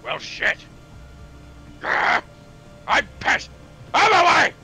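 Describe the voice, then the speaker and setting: A man voicing a loud, crude, big-boned prison warden villain in a game, gravelly and blustering. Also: very high-pitched, sing-song, drawn-out words, faint music behind, pitched above the performer's own voice, full character voice. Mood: furious. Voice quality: gravelly, harsh. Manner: blustering, defiant.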